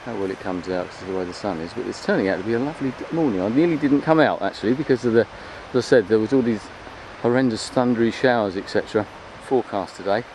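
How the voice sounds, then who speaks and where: A man's voice: fairly low pitch, fast, few pauses. Man chatting over outdoor noise. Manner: chatty, rambling. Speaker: vlogger filming a beach walk. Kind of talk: casual, unscripted walking commentary. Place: open beach at low tide. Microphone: handheld camera's built-in microphone. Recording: outdoor handheld recording, clear wind and water noise.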